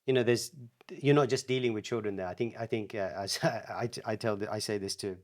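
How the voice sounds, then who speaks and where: Low-voiced man answering questions. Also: steady tone, brisk. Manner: thoughtful, hesitant, many restarts and fillers. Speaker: speaker answering parenting questions. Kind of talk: live online Q&A talk.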